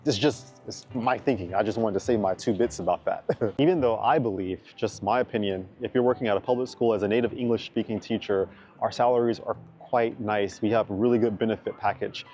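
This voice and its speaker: A man's voice: lowish voice, a little mellow. A man in his thirties from the United States, an English teacher talking casually to camera, chatty and repeating words for emphasis. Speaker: vlogger.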